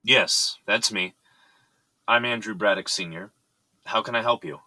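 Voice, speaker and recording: A man's voice: on the low side, unhurried, pushed and bright, no echo. An actor playing a composed, serious, fatherly boss of an architecture firm in an audio drama.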